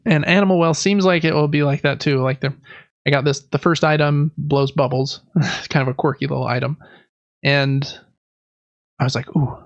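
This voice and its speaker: A man's voice: a little high, fairly even intonation, moderate pace, no room sound, highs cut off. A man co-hosting a podcast, chatting casually about video games in a rambling, enthusiastic, informal way. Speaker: podcast co-host.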